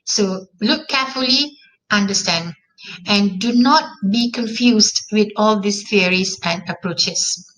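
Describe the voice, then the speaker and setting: A woman's voice: mid-range voice, slow, a bit bright, rough recording. A woman with a Malaysian accent, a native Malay speaker, giving an online class lecture and repeating key terms for emphasis.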